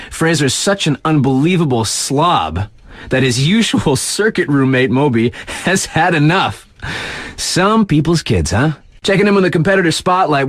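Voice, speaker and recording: Cocky, animated American radio DJ. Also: male voice, medium pitch, dry recording, studio quality. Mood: upbeat, hyped. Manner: slangy, breezy, playful, drawn-out emphasis. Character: cocky, flirty, laid-back male snowboard radio DJ. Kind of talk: hyped radio DJ patter, sports gossip.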